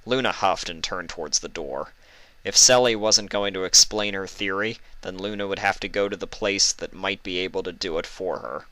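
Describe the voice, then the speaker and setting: Very deep-voiced male story narrator. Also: normal speed, pushed and bright, dry recording. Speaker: fan fiction narrator.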